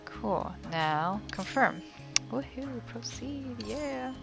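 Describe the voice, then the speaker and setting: A woman's voice: a little high, animated, very slow, gravelly, soft background music. A young woman giving casual let's play commentary as a game streamer, often murmuring to herself.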